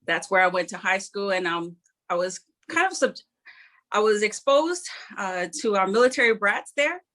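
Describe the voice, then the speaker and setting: A middle-aged woman from New Zealand, an Air Force member telling her life story in an interview, earnest and modest, pausing often. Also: medium pitch, lively intonation, a bit bright. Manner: earnest, modest, reflective with frequent pauses.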